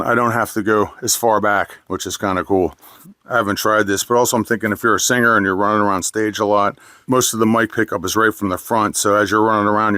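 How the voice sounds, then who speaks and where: A man's voice: lowish voice, sharp tone, no room sound. Middle-aged man, nasal voice, casual reviewer, dry close sound. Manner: plain, friendly, conversational. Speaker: product reviewer. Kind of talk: product review.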